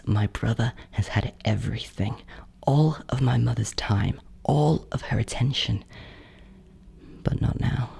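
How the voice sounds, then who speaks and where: An actor voicing a bitter, yearning ghost in a horror audio drama monologue, brooding and breathy, often close to a whisper. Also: medium pitch, dark tone, heavily acted. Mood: bitter, possessive. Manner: slow, halting, brooding. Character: bitter, yearning ghost of a stillborn adult sibling.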